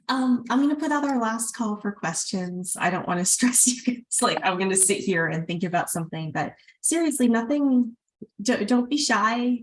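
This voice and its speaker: A woman's voice: medium pitch, a little hiss or hum, poor audio. A woman moderating a literary event in an informal, encouraging way. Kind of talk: casual literary event hosting.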